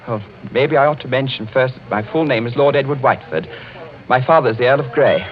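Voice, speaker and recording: An actor playing an earnest, polite young English lord in love, in 1940s radio drama style. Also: male voice, mid-range voice, a little fast, close to an everyday voice. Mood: nervous, earnest. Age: young adult. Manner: eager, deferential, well-bred. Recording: dull vintage radio recording with music.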